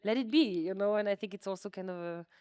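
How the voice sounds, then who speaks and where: French-speaking woman artist chatting about her work, real smooth clear voice, dry sound, says like a lot. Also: medium pitch, fast talker, a little mellow, no echo. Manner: informal, self-deprecating, hedging with many likes. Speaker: artist, interviewee. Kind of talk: conversational artist's talk. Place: museum exhibition space.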